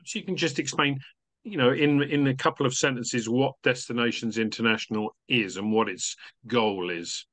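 Older male host, bright, dry close webcam sound, chatty. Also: medium pitch, normal speed, no room sound. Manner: genial, chatty, rambling questions. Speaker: interview host. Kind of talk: video call interview. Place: home study with bookshelves. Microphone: webcam video call.

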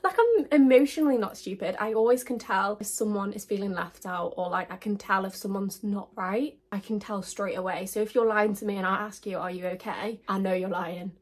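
A woman's voice: mid-range voice, brisk, hardly any pauses. A young woman in her twenties with an English accent, chatting casually to camera while doing her makeup, gushing and full of fillers. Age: 20s. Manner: chatty, gushing, lots of likes and literallys. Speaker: beauty vlogger. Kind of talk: chatty get-to-know-me vlog.

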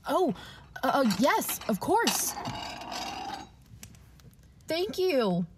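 A woman's voice: high voice, sing-song, drawn-out words, a little hiss or hum, full character voice. A woman playing a polite, wide-eyed and hesitant doctor in 1940s radio drama style.

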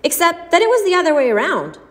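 A woman voicing a calm, stern businessman who answers bluntly and firmly. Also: extremely high pitch, quick pace, pitched above the performer's own voice, heavily acted. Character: calm, stern male businessman, cool and unmoved.

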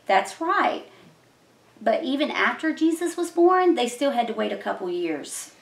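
Woman, children's storyteller, high pitch, simple explanatory delivery, roomy sound. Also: big pitch swings, unhurried, vocal fry. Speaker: children's puppet show host and teacher. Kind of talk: Bible story told to a child puppet. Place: small decorated room indoors. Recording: echoey room.

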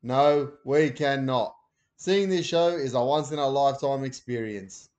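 A man voicing a short-tempered father who shouts gruffly. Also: on the high side, huge pitch swings, measured pace. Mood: stern.

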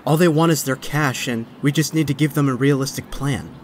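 An actor playing a young, wisecracking but stressed superhero in a comic dub, with a sardonic, conversational delivery. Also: male voice, medium pitch, brisk, slight background noise, natural voice. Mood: reassuring. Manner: sardonic, conversational inner monologue. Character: young male superhero, wisecracking yet stressed and self-doubting. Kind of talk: dramatic comic dub acting.

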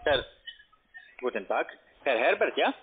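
Man, formal halting sales pitch, animated intonation, faintly noisy phone. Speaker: call centre account manager. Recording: noisy tinny phone line with clicks.

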